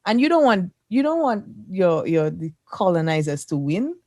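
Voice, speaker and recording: A woman's voice: lowish voice, sing-song, moderate pace, darkish tone, no echo, studio quality. A woman with a Caribbean accent chatting casually and outspokenly as a podcast host in playful group banter.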